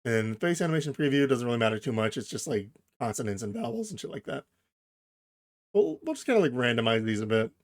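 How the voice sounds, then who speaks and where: A man hosting a software tutorial, explaining each step informally and thinking aloud as he goes. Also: mid-range voice, sing-song, fast. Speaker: tutorial host. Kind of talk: casual software tutorial narration.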